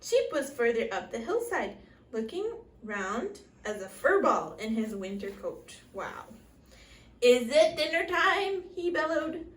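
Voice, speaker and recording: A woman's voice: fairly high pitch, big pitch swings, a little slow. A woman teaching young children English through an interactive read-aloud, using simple short phrases and prompting questions. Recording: echoey room, distant mic, faint noise.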